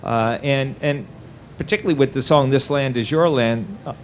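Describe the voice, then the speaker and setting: A man's voice: mid-range voice, normal speed, a little hiss or hum. A middle-aged man from New Jersey speaking earnestly on a panel as an author, often restarting his phrases. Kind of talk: casual panel talk.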